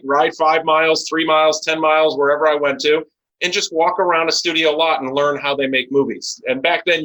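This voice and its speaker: An American man in his forties speaks with a very bright, forceful voice pitched a little high for a man. He is a business owner being interviewed. He talks in a conversational, storytelling way. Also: rough recording. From United States (Chicago and Los Angeles). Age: 40s.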